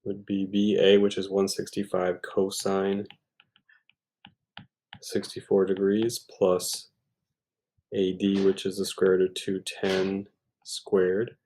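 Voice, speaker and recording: A man's voice: sits low, warm tone, husky, rough recording. A man tutoring math, talking through a problem step by step in an informal way, thinking aloud with hesitations and self-corrections.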